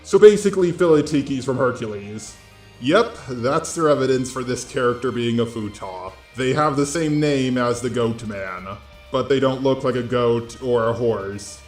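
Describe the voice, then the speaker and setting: A man's voice: mid-range voice, normal speed. Man narrating commentary in an echoey room. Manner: informal, blunt, addresses viewers directly. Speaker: host of online drama commentary videos. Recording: roomy echo with music underneath.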